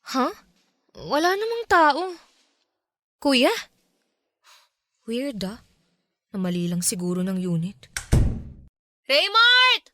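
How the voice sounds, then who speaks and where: High-pitched, jumpy young Filipino woman. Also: huge pitch swings, slow, pauses often, bright, forceful tone, heavily acted. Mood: annoyed, defiant. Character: jumpy, irritable young woman spooked by a stalker. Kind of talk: radio drama acting.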